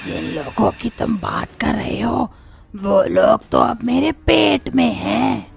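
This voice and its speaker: A woman voicing a menacing, hungry village witch in a creaky, drawn-out, theatrical way for an animated horror story. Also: on the high side, full character voice. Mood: gloating. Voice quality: creaky, gravelly. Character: hungry menacing female village witch, a man-eating ghost. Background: music.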